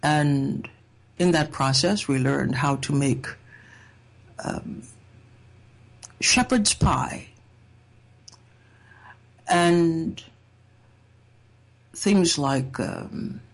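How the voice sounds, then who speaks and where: A woman from Trinidad giving a reflective personal talk in a measured, thoughtful way, with wry humor. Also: low voice, rough recording. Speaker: speaker sharing a personal essay.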